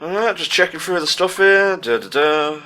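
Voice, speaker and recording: A man's voice: on the high side, animated, normal speed, sharp tone. A man giving casual commentary as host of a video game playthrough. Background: game music.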